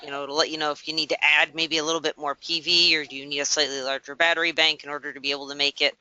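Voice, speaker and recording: A woman's voice: sits low, level tone, rapid-fire, bright, forceful tone, no echo, lo-fi sound. A woman co-presenting a webinar, explaining technical points in a casual way.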